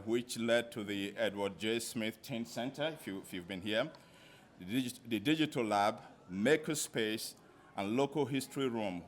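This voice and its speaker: A man, a former library board president, giving a thank-you speech at a live event, warm and halting with many fillers. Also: medium pitch, slight background noise. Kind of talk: ceremony speech.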